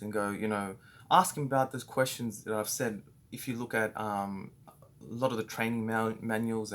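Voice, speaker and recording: A man's voice: medium pitch, fairly bright tone. An Australian man giving a casual, rambling training talk to his staff, full of fillers and restarts. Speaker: business owner training staff.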